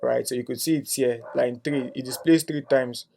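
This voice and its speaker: A man's voice: medium pitch, fairly even intonation, normal speed, gravelly, noisy background. A young man teaching a coding tutorial, thinking aloud as he types, with frequent "um"s and a tag "right?". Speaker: coding instructor. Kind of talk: screen-share coding tutorial.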